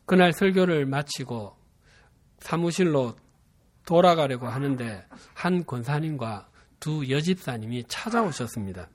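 A man in his fifties from Busan with a Gyeongsang dialect accent, preaching a sermon and telling a personal story in a measured, earnest way. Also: medium pitch, expressive. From Busan, South Korea. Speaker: pastor.

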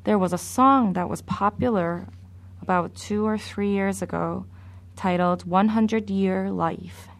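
Slow, gravelly woman preaching a sermon. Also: medium pitch. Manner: slow, measured and deliberate.

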